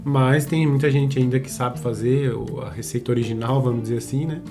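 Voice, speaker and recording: A man co-hosting a casual podcast, talking haltingly with frequent restarts and tag questions. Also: medium pitch, fairly even intonation, gravelly, dark tone, soft background music. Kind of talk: casual conversational podcast chat.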